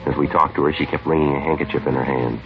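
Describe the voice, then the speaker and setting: An American man acting as a terse, stoic, courteous police detective, deadpan and clipped, in a 1950s radio drama. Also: extremely low pitch, racing, over music. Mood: matter-of-fact. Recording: dull, hissy vintage radio recording.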